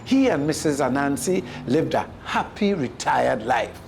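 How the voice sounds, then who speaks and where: Caribbean storyteller, expressive read-aloud delivery, very animated pitch movement. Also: a little slow, vocal fry, a little hiss or hum.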